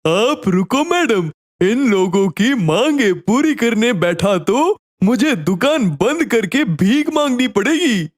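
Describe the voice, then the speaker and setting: Animated, emphatic cartoon shopkeeper played by a man. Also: extremely high pitch, slow, exaggerated voice. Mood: stubborn. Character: eager, stubborn male cloth shopkeeper in an animated story.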